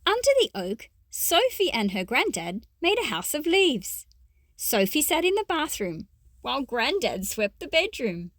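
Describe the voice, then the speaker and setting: A woman's voice: high-pitched, big pitch swings, unhurried, fairly bright tone, dry recording, clean studio sound. A woman reading a children's picture book aloud expressively, with explanatory asides to young listeners.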